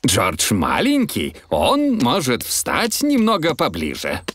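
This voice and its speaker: Jolly, swooping daddy pig. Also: male voice, mid-range voice, wildly swooping pitch, slow pace, fairly bright tone, no room sound, exaggerated voice. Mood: helpful. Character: jolly, good-natured adult father pig. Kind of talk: children's cartoon dub.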